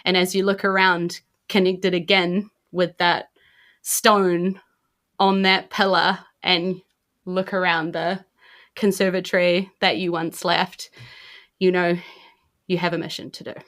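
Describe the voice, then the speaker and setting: A woman's voice: lowish voice, level tone, measured pace, fairly bright tone. A woman with a New Zealand accent hosting and narrating a tabletop roleplaying podcast as game master, often repeating phrases for effect.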